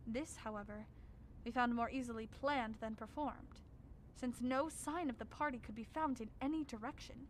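A woman playing a grave, dread-filled scholar in a radio drama, speaking in a formal, measured, ominous way. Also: fairly high pitch, slight background noise, close to an everyday voice, low-quality recording. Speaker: actor narrating. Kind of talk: radio drama narration as a written record.

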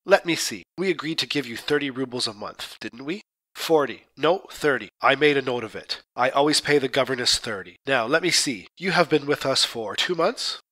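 A man's voice: medium pitch, lively intonation, normal speed, poor audio. A man voicing a stingy middle-aged employer who coolly itemizes deductions in a read-aloud story. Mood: calculating. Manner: coolly itemizing, later shouting in anger. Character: stingy middle-aged male employer, calculating, then furious. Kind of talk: story reading.

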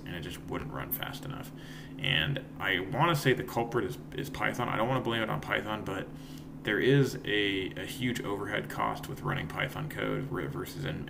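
A young man with a raspy voice, casually walking through code in a home screen-share tutorial, rambling and correcting himself, with guitar notes behind him. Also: sits low, background noise. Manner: rambling, self-correcting, relaxed. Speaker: coding tutorial host. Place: home room, indoors. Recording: guitar playing in background. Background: guitar plucks.

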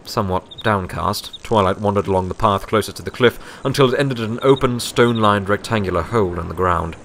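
A man's voice: fairly low pitch, brisk, a bit bright, slight background noise. A man reading scripted story narration as an audiobook narrator.

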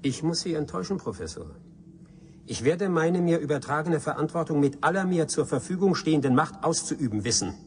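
A man's voice: fairly high pitch, expressive, a little fast, slight background noise, lightly acted. Man playing a stern, restrained spaceship commander. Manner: formal, restrained, terse. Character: stern, dutiful male military spaceship commander, guarded. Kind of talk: 1980s German science fiction radio drama acting.

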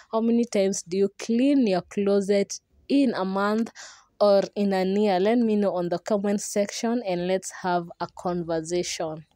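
A woman's voice: medium pitch, dark tone, no room sound. A young woman narrating her homemaking vlog in a friendly, chatty voice-over and speaking directly to her viewers. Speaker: homemaking vlogger.

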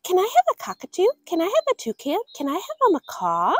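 A woman's voice: very high-pitched, huge pitch swings, quick pace, no echo, studio quality, pitched above the performer's own voice, full character voice. A woman voicing an eager little girl who pleads insistently, in a storybook read-aloud. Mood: eager pleading.

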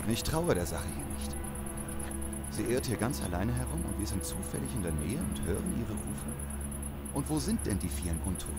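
An actor playing a distrustful, hot-tempered survivor in a German zombie audio drama, stern and interrogating. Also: male voice, lowish voice, big pitch swings, normal speed, over loud music.

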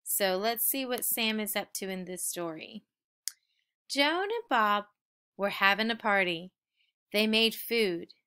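A woman reading a children's story aloud with expressive, dramatic emphasis for young listeners. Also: mid-range voice, slow pace, clean tone.

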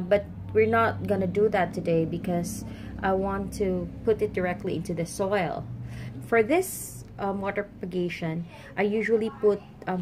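A woman's voice: mid-range voice, darkish tone, slight background noise. A woman with a Filipino Visayan accent, chatting casually as she demonstrates plant care at a table, mixing English with Tagalog and Bisaya. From Philippines. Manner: chatty, mixes English with Tagalog and Bisaya, talks while working with her hands. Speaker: plant vlogger. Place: indoors at a table with potted plants.

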